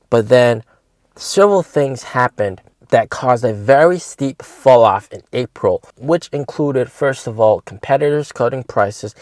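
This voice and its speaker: A man with a medium-pitched voice presents in the casual style of a car review vlogger. He leans on key words and repeats them for emphasis. The sound is dry, with little room echo. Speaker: host of an electric car stock channel.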